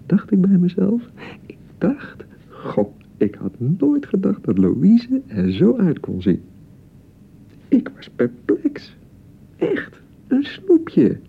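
An actor in a radio play playing an awkward young bridegroom eager to reassure, halting and repeating himself, with a Netherlands Dutch accent. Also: male voice, on the high side, creaky, breathy edge, exaggerated voice. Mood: admiring, oblivious. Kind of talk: naturalistic radio play dialogue. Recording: thin phone-like radio broadcast sound.

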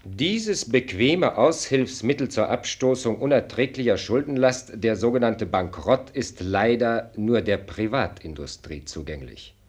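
A sardonic minister of state in a radio drama. His pitch is mid-range but moves in very lively swings, and he lectures in formal, pointed phrases with dry irony. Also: a little slow, hardly any pauses, slightly rough. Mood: dry lecturing. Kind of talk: German radio play acting.

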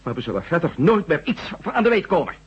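Wry ex-astronaut reporter in old radio sci-fi play, swoopy animated voice, muffled hummy tape. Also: male voice, a little high, quick pace, breathy edge, heavily acted. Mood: wry, exasperated. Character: impulsive, wry male ex-astronaut turned reporter, adventurous. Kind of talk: Dutch science-fiction radio drama acting. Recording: dull, noisy old radio recording with hum.

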